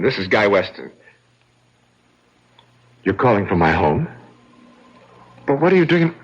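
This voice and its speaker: A man's voice: mid-range voice, fairly bright tone, husky, full character voice. An actor playing a calculating, indignant man suspected of murder, in emphatic, theatrical radio mystery style. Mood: impatient, alarmed. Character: smooth, calculating, aggrieved brother-in-law suspected of murder. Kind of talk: old-time radio mystery acting. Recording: dull, lo-fi radio drama recording.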